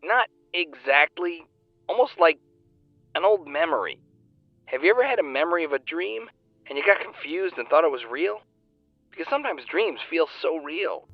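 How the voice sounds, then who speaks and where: An actor playing an earnest, talkative fan-fiction writer, heard over a phone call in an audio drama. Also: male voice, on the high side, expressive, moderate pace, no room sound, soft background music. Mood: earnest, reflective. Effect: phone call filter. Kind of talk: naturalistic audio drama acting. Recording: thin, band-limited phone line.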